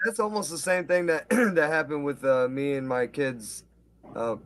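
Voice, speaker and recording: A man's voice: a little high, moderate pace, a crowd in the background. A man in his late thirties hosting a casual talk stream, laid-back and swearing freely, calling everyone dude. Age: 30s. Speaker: host of a live talk stream. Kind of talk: casual chat.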